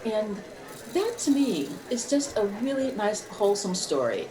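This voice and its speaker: A woman chatting casually in a group as a podcast panelist, in a halting, conversational way. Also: medium pitch, very animated, a crowd in the background. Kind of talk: casual group chat about holiday movies. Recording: echoey room, background voices.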